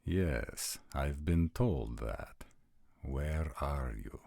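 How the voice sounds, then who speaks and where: A man playing a stern, imperious vampire prince with slow, formal questioning in a roleplay audio drama. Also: extremely low pitch, lively intonation, vocal fry, darkish tone, dry recording, clean studio sound, exaggerated voice.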